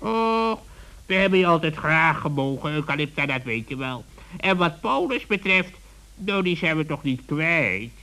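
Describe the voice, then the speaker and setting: High, sly, courteous forest creature. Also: male voice, expressive, normal speed, pushed and bright, poor audio. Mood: dry, polite. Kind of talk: children's radio play acting.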